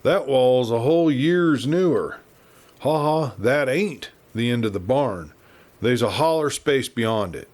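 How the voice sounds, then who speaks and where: A man's voice: medium pitch, lively intonation, measured pace, a little mellow, close to an everyday voice. A man voicing a big, ruthless Western villain in an audiobook, with a rustic American Western drawl and a growling, contemptuous manner. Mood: brooding. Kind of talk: Western audiobook character reading.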